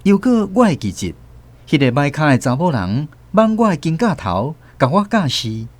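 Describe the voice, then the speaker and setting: Animated Taiwanese male storyteller. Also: a little high, normal speed. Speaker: narrator. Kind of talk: dramatic scripted first-person storytelling.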